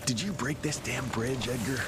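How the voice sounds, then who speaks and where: An actor playing a gruff, wry, determined monster hunter who mutters tersely to himself in a video game. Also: male voice, mid-range voice, moderate pace, noisy background, close to an everyday voice. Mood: grumbling, wary. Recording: music loud behind the voice. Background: loud game music.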